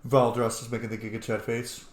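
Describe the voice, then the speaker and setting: A man's voice: mid-range voice, flat delivery, a little fast, slight background noise. A man giving casual, informal gameplay commentary, reacting aloud to game characters. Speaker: let's play streamer. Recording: echoey room.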